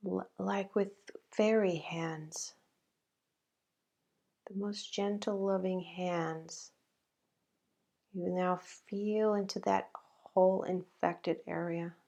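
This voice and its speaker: Slow, creaky-voiced woman guiding meditation. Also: lowish voice, steady tone, mellow, muffled recording. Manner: slow, halting, with long pauses. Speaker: meditation guide. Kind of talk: guided meditation.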